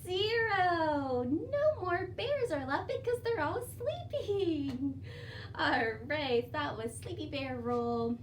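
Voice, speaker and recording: A woman with a high voice reads and recites for young children in an expressive, sing-song storytime style. She takes her time over the words. The mic is a little distant, with faint noise behind her. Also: slow. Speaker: children's librarian leading storytime. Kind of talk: children's storytime with songs, rhymes and read-aloud.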